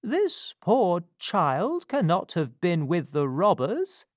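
A woman's voice: fairly low pitch, wildly swooping pitch, takes it slowly, dry recording, studio quality, pitched above the performer's own voice, full character voice. A woman reading a storybook and voicing a kindly, motherly older aunt who speaks reassuringly.

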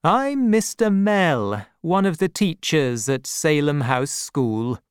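A woman's voice: low-pitched, very animated, slow, clear, resonant tone, no room sound, studio quality, heavily acted. A woman voicing a thin young male schoolteacher with a plain, matter-of-fact manner in a storybook.

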